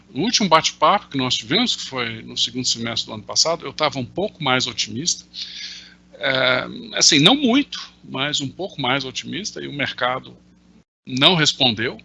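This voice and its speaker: A man's voice: medium pitch, gravelly, bright, forceful tone, slightly rough. A man in his sixties with a Brazilian Portuguese accent, explaining things in an online Q&A with worked examples, full of hesitant fillers. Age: 60s. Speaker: invited market analyst answering questions. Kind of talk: explanatory talk in a virtual Q&A. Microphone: video call.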